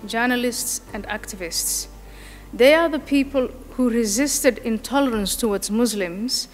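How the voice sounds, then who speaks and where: A woman giving a prepared conference speech in deliberate, measured phrases. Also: fairly high pitch. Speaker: conference speaker, organizer. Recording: echoey hall with music underneath. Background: music.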